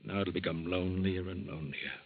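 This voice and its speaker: An actor playing a gentle, worn-out older uncle who speaks softly and haltingly in an old radio drama. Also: male voice, low voice, fairly even intonation. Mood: tender. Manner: soft-spoken, halting. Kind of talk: 1940s radio acting. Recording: dull old radio recording.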